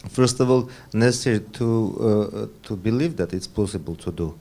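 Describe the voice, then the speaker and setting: A man's voice: on the low side, normal speed, warm tone. A man speaking as a panelist, earnest, with broken phrasing. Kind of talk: panel discussion remarks.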